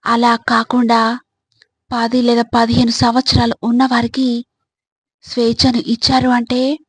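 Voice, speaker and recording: A woman delivering scripted motivational advice in a measured, instructive way, speaking directly to the listener. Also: a little high, slightly rough, dry recording.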